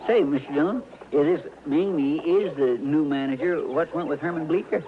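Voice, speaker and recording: A man's voice: a little high, moderate pace, close to an everyday voice. A man playing a naive, deferential deputy with a western in an old-time radio western. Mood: puzzled, folksy. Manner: folksy, deferential. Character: earnest, naive, deferential country deputy sidekick. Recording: muffled old radio, crowd behind.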